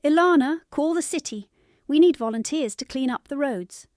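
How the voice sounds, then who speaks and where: A woman voicing a brisk male factory worker in a bedtime storybook, calling out orders. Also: high-pitched, animated, no echo, clean studio sound, pitched above the performer's own voice. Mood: urgent, bossy. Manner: shouting out orders.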